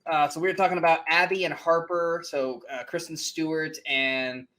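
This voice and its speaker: Lively casual man debating movies. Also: a little high, moderate pace, slight background noise. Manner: animated, swears, many fillers and laughs. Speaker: podcast co-host.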